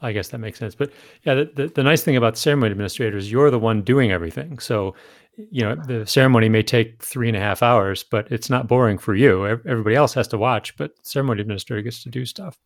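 A man's voice: medium pitch, quick pace, no echo. Man, podcast host, casual chat, restarts sentences, dry close sound. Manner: chatty, with repeated restarts.

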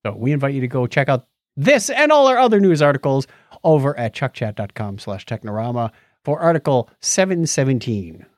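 A man's voice: medium pitch, big pitch swings, fairly quick, darkish tone, no echo. A man co-hosting a podcast in a playful, informal way, moving between casual chat and read-out items. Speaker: podcast co-host.

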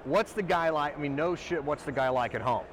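A man's voice: a little high, a little fast, a little hiss or hum, rough recording. A man hosting a podcast interview, rambling through his questions and swearing casually. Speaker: podcast host. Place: trade show booth, outdoors.

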